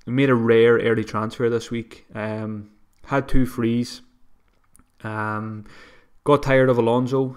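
A man's voice: lowish voice, clean tone. A man hosting a solo podcast, talking casually and a bit sniffly from a cold, with frequent restarts and self-deprecating asides. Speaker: podcast host. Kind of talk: solo fantasy football podcast.

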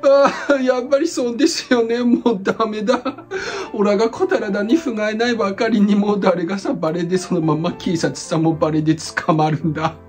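A woman's voice: medium pitch, steady tone, a little fast, darkish tone, faint music behind, close to an everyday voice. A woman voicing an anxious young hacker in a comedic voice drama, speaking in Tsugaru dialect in a fretful, self-pitying way.

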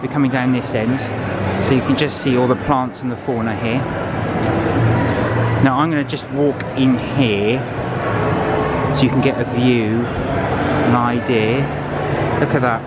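A man's voice: medium pitch, steady tone, measured pace, pauses often. Man vlogging over heavy background noise. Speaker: travel vlogger. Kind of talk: casual off-camera tour commentary. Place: large busy glass-walled indoor viewing garden. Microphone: handheld camera mic, held by speaker. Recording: loud ambient noise throughout.

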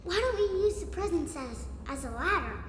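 An actor voicing a timid, gentle little boy who speaks hesitantly in a video game. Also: high voice, expressive, brisk, reverberant, in a large hall, a little hiss or hum. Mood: tentative. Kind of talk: video game character acting.

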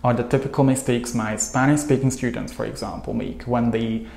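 A man, teaching English to camera in an earnest, steady way. His voice sits at a middling pitch. The lapel-mic sound carries a noticeable roomy echo from a small room at home. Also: brisk, vocal fry. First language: Hungarian. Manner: earnest, steady, listing points in long runs. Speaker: English coach. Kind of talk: scripted video lesson to camera.

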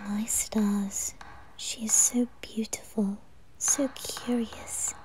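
A woman's voice: on the high side, little pitch movement, extremely slow, rough recording. A woman voicing a haughty, bratty teenage aristocrat boy in an anime ASMR roleplay, imperious and mocking. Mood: smitten, conflicted.

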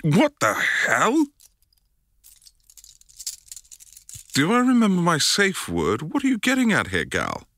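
A man playing an affectionate, playful young boyfriend in intimate ASMR roleplay, murmuring breathily with lingering hums and pauses, close to the mic. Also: high-pitched, wildly swooping pitch, sharp tone, exaggerated voice. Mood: surprised. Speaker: audio roleplay performer. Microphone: close, dry microphone.

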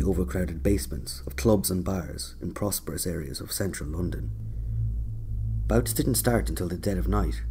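A man's voice: deep voice, warm tone, slight background noise. A man narrating a scripted autobiographical audio drama. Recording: prominent music under narration.

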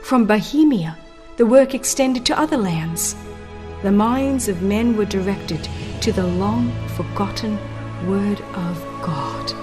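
A woman narrating a dramatized audiobook of religious history in a solemn, measured manner with deliberate phrasing. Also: mid-range voice, animated. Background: background music.

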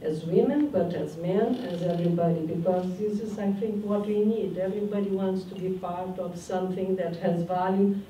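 A woman's voice: on the low side, steady tone, moderate pace, mellow, slight background noise. An older woman speaking on a panel, searching for words and adding "no?" tags, on a handheld mic in a conference room. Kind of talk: panel discussion talk. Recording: roomy hall from a distant mic.

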